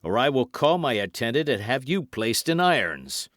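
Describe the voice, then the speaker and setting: A man voicing a haughty, indignant English duke in an audiobook, speaking in a scornful, commanding and deliberate manner. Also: mid-range voice, very animated, fairly bright tone, no room sound, clean studio sound. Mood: angry, alarmed. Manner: imperious, deliberate, dwelling on syllables. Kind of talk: dramatic audiobook character acting.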